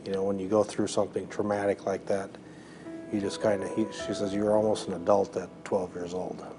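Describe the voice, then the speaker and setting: A man in his forties from Minnesota telling his story thoughtfully in an interview, pausing often. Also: low-pitched, level tone, low-quality recording. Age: 40s. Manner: thoughtful, many "uh" pauses. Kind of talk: reflective interview testimony. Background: soft music.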